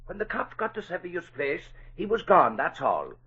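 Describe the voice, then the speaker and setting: Nosy newspaper man, high husky voice, swooping folksy grumble, 1940s radio drama. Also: wildly swooping pitch, normal speed, pushed and bright, heavily acted. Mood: blustery. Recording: dull vintage 1940s radio recording.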